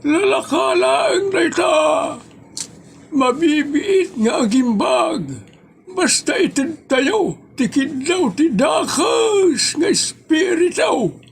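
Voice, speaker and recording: A man's voice: very high-pitched, lively intonation, slow, creaky, raised voice, a little hiss or hum, heavily acted. A man voicing a bombastic old quack faith healer with an Ilocano accent, chanting theatrically in a comedy audio drama. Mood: boastful.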